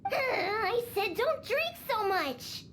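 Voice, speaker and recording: A woman's voice: very high-pitched, big pitch swings, slow pace, a bit bright, a little hiss or hum, exaggerated voice. A woman voicing a bossy, proud young cat-girl bartender in a video game, sassy and scolding. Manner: sassy, boastful, matter-of-fact scolding.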